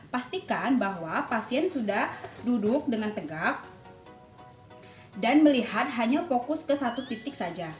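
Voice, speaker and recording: A young adult woman giving a polite, step-by-step instructional demonstration as a student. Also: on the high side. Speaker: dental student demonstrating a clinical procedure. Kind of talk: instructional skills demonstration with role-played patient dialogue. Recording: roomy echo, music behind.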